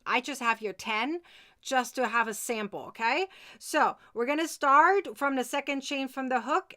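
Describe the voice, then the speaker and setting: The bright, very high voice of a woman you would hear on a crafting livestream, chattily walking followers through each step and insisting they keep up. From Germany. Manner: chatty, insistent, often checking with "okay?". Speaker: crochet tutorial host. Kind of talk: live step-by-step craft tutorial.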